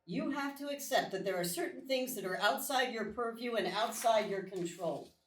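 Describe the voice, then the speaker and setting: An actress in a live script reading playing a stern, unflinching colonel with a firm, curt, commanding manner. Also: female voice, a little high, moderate pace, slight background noise, natural voice. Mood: cold, defiant. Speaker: actor in a live screenplay table read. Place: small live theatre stage. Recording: distant, echoey live theatre recording.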